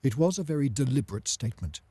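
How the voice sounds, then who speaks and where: A man's voice: medium pitch, lively intonation, quick pace, dark tone, husky, no echo, studio quality. A man giving a scripted report as a news correspondent.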